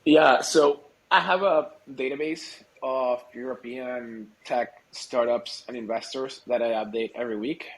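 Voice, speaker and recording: A man's voice: mid-range voice, fairly even intonation, measured pace, few pauses, rough recording. Informal man from Argentina, native Spanish speaker. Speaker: podcast guest, startup growth executive. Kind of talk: casual interview conversation.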